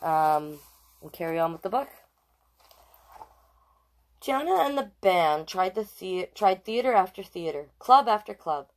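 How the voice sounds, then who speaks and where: Low-pitched woman reading a storybook aloud. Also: a little slow, pauses often. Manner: expressive, chatty, addresses live viewers between passages. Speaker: storytime host and drag king reader. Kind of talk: reading a children's picture book aloud with chatty asides.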